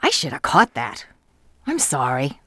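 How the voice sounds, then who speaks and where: A female coroner investigator speaks in a notably low voice for a woman. She is matter-of-fact and businesslike, quick to exasperation, in dry-sounding radio mystery dialogue. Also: wildly swooping pitch, sharp tone, breathy edge, no echo, full character voice.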